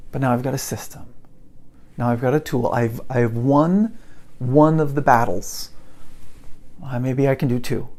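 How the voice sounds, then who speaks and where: Middle-aged male English teacher, gravelly voice, storytelling with emphatic repetition. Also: on the high side, a little mellow. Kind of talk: storytelling to learners.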